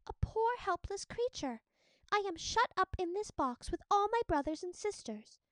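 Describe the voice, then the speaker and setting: A woman's voice: very high-pitched, sing-song, normal speed, no echo, voice pushed up. A woman voicing a tiny, helpless trapped creature in a storybook, with a thin, urgent, pleading voice.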